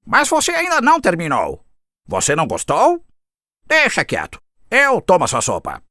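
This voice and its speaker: Sly, polite fox for a children's cartoon, acted, Brazilian accent, mid-pitched voice with huge theatrical swings. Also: huge pitch swings, moderate pace, sharp tone, no room sound, clean studio sound. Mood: greedy, casual. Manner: exaggerated, theatrical delivery with big swoops. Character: sly, cheerful, polite fox neighbour, fond of eating.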